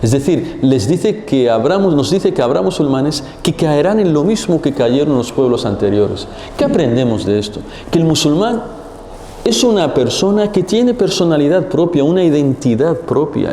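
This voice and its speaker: A man's voice: on the high side, moderate pace. Man teaching in an echoey hall. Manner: animated, rhetorical questions, repeats phrases. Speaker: religious teacher. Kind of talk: Islamic religious lesson. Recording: echoey hall with long reverb.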